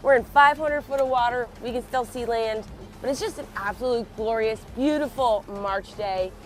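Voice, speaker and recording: A woman's voice: a little high, moderate pace. A young woman hosting a fishing vlog outdoors on a boat, a little nasal from a head cold and upbeat as she talks. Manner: upbeat, excited when a fish hits. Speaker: fishing channel host. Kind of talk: casual vlog narration on a boat.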